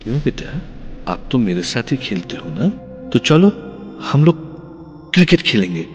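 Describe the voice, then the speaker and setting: An actor as a patient, soothing dad in a horror radio play. He speaks slowly and gently in a mid-range voice, with long reverb around it and some hesitation. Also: medium pitch, sing-song, dull audio, over music. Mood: coaxing. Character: patient, soothing husband and father. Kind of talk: audio horror drama acting. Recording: long reverb, faint background noise.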